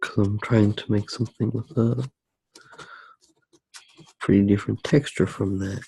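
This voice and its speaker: A soft-spoken man musing hesitantly through a drawing demonstration in ASMR style. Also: on the low side, fairly even intonation, measured pace, gravelly, slight background noise, rough recording. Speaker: ASMR artist.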